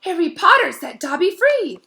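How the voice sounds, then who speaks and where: A small, shrill male house elf played by a woman reading a storybook, excitable and utterly devoted. He pipes up high and bright, speaking emphatically of himself in the third person. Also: moderate pace, creaky, heavily acted. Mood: overjoyed.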